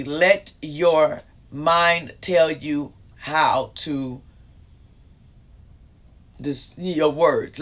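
A middle-aged man reads tarot cards in a loose, rambling way, full of fillers and restarts. His voice sits somewhat high for a man. He speaks close into a handheld microphone in a small indoor room. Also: fairly bright tone. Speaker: tarot reader. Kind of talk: casual tarot reading to camera. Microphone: handheld microphone held close.

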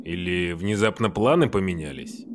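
A man voicing a gruff, sceptical soldier with a sardonic, questioning manner in an animated web series. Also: low-pitched, moderate pace, very noisy, natural voice.

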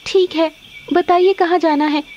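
A woman's voice: very high voice, fairly even intonation, measured pace, darkish tone, dull audio, slight background noise, pitched above the performer's own voice. A woman voicing a timid, pleading young snake-girl daughter in a cartoon story. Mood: timid, unsure. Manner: plaintive, pleading.

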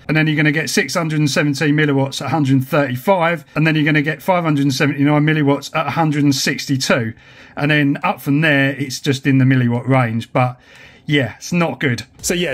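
Husky man, higher-pitched voice. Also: a bit bright. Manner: informal, chatty, hands-on explaining. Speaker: amateur radio YouTuber. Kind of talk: casual explanatory tech vlog.

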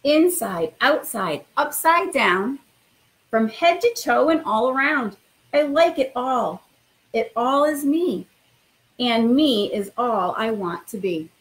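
A woman's voice: fairly high pitch, animated, slow, poor audio. A woman in middle age, a school principal, talking informally and reading a picture book to children in an encouraging way. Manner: encouraging, addresses children directly.

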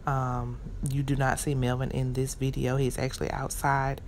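A woman's voice: a bass voice, completely flat delivery, normal speed, a little mellow, slight background noise. Chatty woman vlogging in an echoey kitchen. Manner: chatty, thinking aloud with many ums. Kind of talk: casual home renovation vlog. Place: large kitchen of an old house. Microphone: phone at arm's length, later propped far off. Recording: echoey room.

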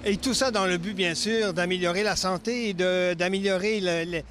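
A man's voice: sits high, steady tone, normal speed, fairly bright tone, slight background noise. An older man with a Canadian French accent hosting an outdoor interview, chatty and hesitant as he searches for words, speaking into a handheld mic. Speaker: interview host. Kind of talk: casual interview questions. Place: outdoors in a leafy park.

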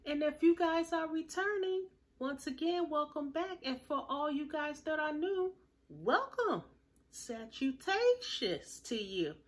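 Woman, 30s, upbeat home vlogger; slightly high, unhurried, a touch nasal; phone-mic close. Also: expressive. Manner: chatty, upbeat, addresses viewers directly. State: slightly nasal, recovering from illness. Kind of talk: casual haul vlog. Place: small room at home, plain grey wall.

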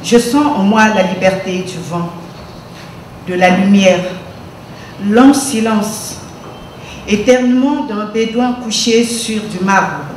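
A man's voice: high-pitched, slow, long pauses. A man reciting poetry aloud at a live event. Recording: very echoey hall, distant mic, faint noise.

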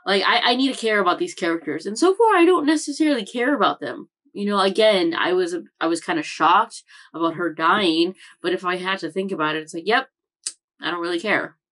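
Young woman rambling about an anime at home, kinda low voice, lots of ums. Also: expressive, brisk, fairly bright tone. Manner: rambling, chatty, with many fillers and self-corrections. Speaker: anime reaction channel host. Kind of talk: casual chat recap. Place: home room with bookshelves.

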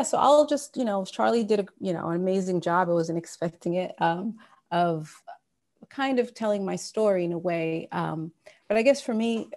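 A woman's voice: on the low side, darkish tone. A woman in her thirties from Sudan giving a casual talk over a video call from her home office, with plenty of fillers and restarts. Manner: conversational, many fillers and restarts. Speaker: guest speaker. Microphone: wired earphones on a video call.

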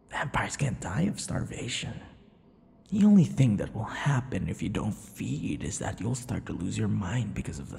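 A man playing a possessive, sadistic yandere vampire in an intimate ASMR roleplay, taunting and slipping into breathy whispers. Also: lowish voice, dark tone, exaggerated voice. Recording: long hall-like reverb.

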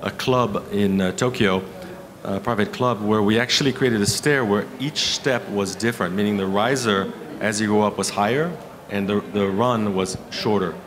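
A man in his fifties giving a conference keynote as an architect, with a halting delivery full of pauses. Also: lowish voice, a crowd in the background. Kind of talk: conference presentation with slides. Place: conference hall stage at a lectern. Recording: clear background room noise.

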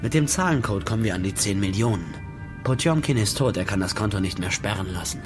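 A man's voice: on the low side, lively intonation, fast talker, over music, natural voice. An actor playing an earnest, protective man who turns curt with others, in a crime audio drama. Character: protective, brusque man guarding a young woman.

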